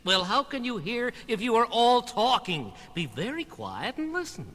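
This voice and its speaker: A man voicing a bossy but good-natured puppet leader, scolding and instructing in a husky voice on a children's record. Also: extremely high pitch, sing-song, normal speed, pushed and bright, exaggerated voice. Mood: hushing. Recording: reverberant vintage 1960s children's record.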